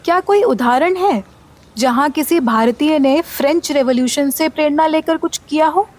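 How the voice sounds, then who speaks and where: A woman's voice: high-pitched, normal speed, a little mellow, lightly acted. A woman with an Indian accent playing a curious, respectful young university student in conversational radio play dialogue. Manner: inquisitive, respectful.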